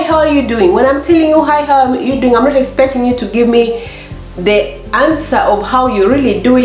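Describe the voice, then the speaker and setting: A middle-aged woman with a Kenyan accent and a slightly hoarse voice, chatting casually as a vlog host and acting out little exchanges as she tells stories. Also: fairly high pitch. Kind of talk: casual chat to camera. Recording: echoey room.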